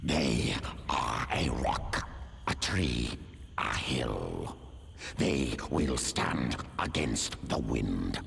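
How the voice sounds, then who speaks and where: A man voicing an ancient primal alien beast in a video game, patient and hungry, growling in terse, halting declarations. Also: very deep voice, expressive, background noise, full character voice. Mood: calm, predatory. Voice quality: guttural, gravelly growl. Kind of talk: video game cutscene acting. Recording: loud music behind the voice.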